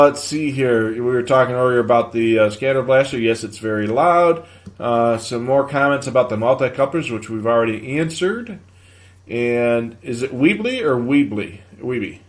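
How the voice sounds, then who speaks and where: A man hosting a live show, giving practical tech advice and answering viewer questions in a halting, conversational, explanatory way. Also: medium pitch, a bit bright.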